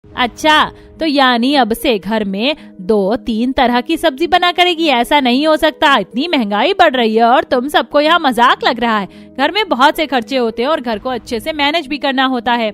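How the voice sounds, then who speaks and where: A strict mother-in-law from a cartoon family drama, voiced by a woman, with a fairly high voice. She scolds in a firm, bossy way over faint music. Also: normal speed, a bit bright. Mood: scolding. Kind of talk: cartoon voice acting.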